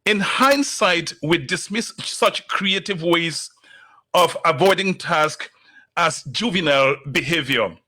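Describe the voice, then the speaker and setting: Slow, emphatic, bright-voiced male host. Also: on the high side, expressive. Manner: slow, deliberate, emphatic delivery. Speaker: talk show host. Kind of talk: motivational monologue and interview.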